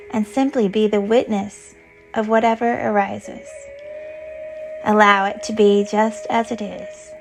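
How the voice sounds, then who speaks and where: Woman guiding meditation, slightly creaky voice. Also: mid-range voice, poor audio. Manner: soothing, gentle, unhurried instruction. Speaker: guided meditation teacher. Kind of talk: guided yoga nidra meditation.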